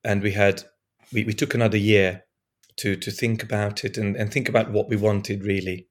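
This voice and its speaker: A man from Europe, a guest on a podcast, speaking in a hesitant way with many restarts and fillers, his voice still hoarse from being ill. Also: fairly low pitch, level tone, normal speed. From Eastern Europe. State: hoarse, recovering from lost voice. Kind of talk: casual conversation.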